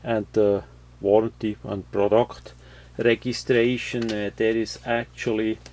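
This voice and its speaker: A man giving a casual, unscripted product walkthrough, explaining things haltingly with many uhs and repeated words. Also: on the low side, flat delivery, a little mellow. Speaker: host of product unboxing videos.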